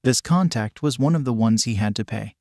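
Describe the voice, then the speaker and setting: A man's voice: mid-range voice, fairly quick, darkish tone, no room sound, clean studio sound. A man reading scripted fan fiction as a narrator, voicing dialogue much like the narration.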